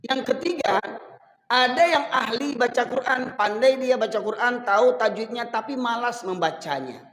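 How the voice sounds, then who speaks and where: A man from Sumatra with a Medan accent, preaching a sermon to a congregation and slowing to chant verses. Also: very high voice, a bit bright. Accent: Medan Indonesian. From Sumatra, Indonesia. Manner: rises and falls, slows to chant Quran verses. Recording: echoey hall.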